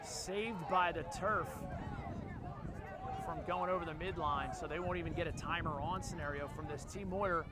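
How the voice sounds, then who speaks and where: A man with a Philadelphia accent calling a lacrosse game play by play, quick and jokey, shouting on big plays. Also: on the high side. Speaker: play-by-play commentator. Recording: outdoor broadcast with loud crowd and noise.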